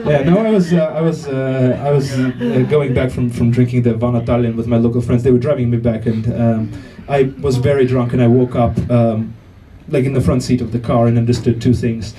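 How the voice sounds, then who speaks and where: A man from Estonia doing stand-up on a club stage, picked up a little far from the mic. His delivery halts and restarts, padded with "uh" and "like". His pitch sits in a middle range. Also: fairly even intonation, a crowd in the background. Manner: halting delivery with many fillers and restarts. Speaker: stand-up comedian. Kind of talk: stand-up comedy set. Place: comedy club stage with live audience.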